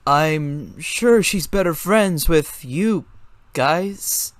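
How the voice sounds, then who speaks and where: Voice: medium pitch, expressive, very slow, dry recording, pitched above the performer's own voice. Someone playing an awkward, bewildered boy. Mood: hesitant. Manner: hesitant, unsure.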